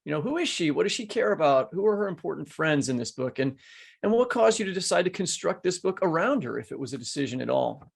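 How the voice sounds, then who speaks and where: A man's voice: on the high side, sing-song, fast, creaky, husky. A middle-aged man hosting a podcast in an informal, joking, conversational way, with plenty of words. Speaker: podcast host.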